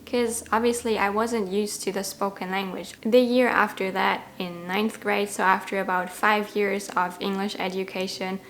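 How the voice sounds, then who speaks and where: Vlogger, female, 20s, German accent, native German, mid-pitched, candid reflective delivery, faint hiss behind. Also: little pitch movement, normal speed, hardly any pauses, a bit bright. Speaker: language-learning vlogger. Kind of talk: personal storytelling to camera.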